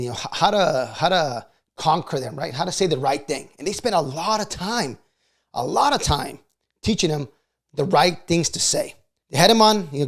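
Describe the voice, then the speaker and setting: A middle-aged man talks in a fairly high voice with plenty of gravelly creak. He gives casual, motivational sales advice as a video host, conversational and often tagging his points with little check-in questions. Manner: conversational, frequently seeks agreement with short check questions.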